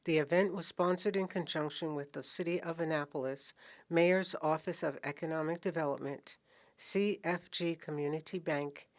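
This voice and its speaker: A woman narrating a scripted introduction to a local business event program in a measured, formal way. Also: deep voice.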